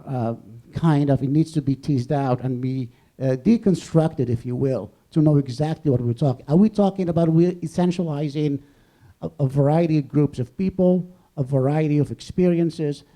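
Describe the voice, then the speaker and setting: A man speaking off the cuff as a professor in an academic discussion, discursive and halting, rephrasing as he goes. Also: on the high side, warm tone. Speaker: professor on a debate panel. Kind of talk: extemporaneous academic discussion before an audience.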